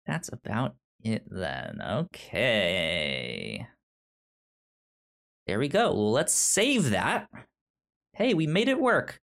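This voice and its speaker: A man co-hosting a podcast in casual movie chat, talking haltingly with stammered repeats. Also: lowish voice, huge pitch swings, gravelly, a bit bright. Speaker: podcast co-host.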